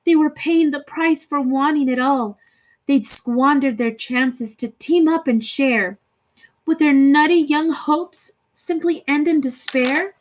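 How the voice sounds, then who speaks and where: A woman reading a rhyming children's story aloud in an expressive, theatrical way with deliberate phrasing. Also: high-pitched. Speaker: storybook reader.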